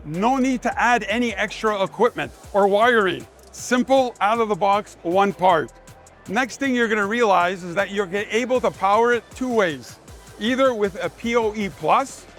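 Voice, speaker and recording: High-pitched man pitching a product. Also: a bit bright. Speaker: sales manager presenting a product. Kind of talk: live product demonstration pitch. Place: trade show demonstration.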